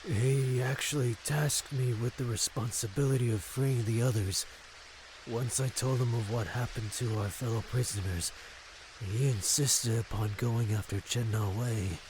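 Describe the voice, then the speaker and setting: A man's voice: medium pitch, fairly even intonation, unhurried, lightly acted. A man playing an earnest, wary freed prisoner in an audio drama, speaking in formal phrases. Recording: clear rain and ambience noise. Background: rain.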